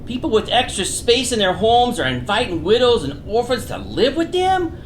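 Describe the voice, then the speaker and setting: Comic radio gangster lackey, played by an actor: nervous, deferential, slangy, very animated pitch, roomy sound with faint noise. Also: moderate pace. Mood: nervous, reporting. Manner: mobster slang with double negatives. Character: deferential gangster underling Vinny, slangy, nervous bearer of bad news. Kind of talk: comic radio theater acting. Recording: roomy, echoing, faintly noisy recording.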